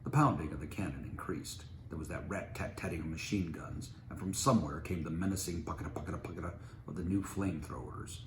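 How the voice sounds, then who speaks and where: Deep-voiced man reading a story, roomy. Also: quick pace. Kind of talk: story narration, reading aloud. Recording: roomy, noisy home recording.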